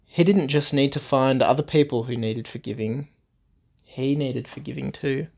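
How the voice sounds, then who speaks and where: A man's voice: medium pitch, moderate pace, creaky, a little mellow. A man reading a Bible story aloud for children, expressively, in simple repeated phrases with questions to his young listeners.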